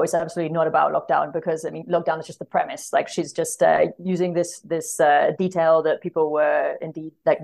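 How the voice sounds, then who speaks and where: Low-pitched, slightly creaky woman, thinking aloud. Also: darkish tone. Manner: hesitant, thinking aloud with self-corrections. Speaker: podcast guest, literary translator. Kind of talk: thoughtful conversational literary discussion.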